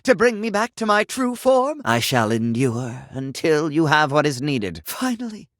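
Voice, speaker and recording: A man's voice: a little high, sing-song, measured pace, fairly bright tone, dry recording, clean studio sound. An actor playing a confused, sentimental sink who thinks he is human in a video game, with theatrical, flowery delivery. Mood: yearning, earnest. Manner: theatrical, flowery, swinging between formal and exclamatory. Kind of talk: video game character acting.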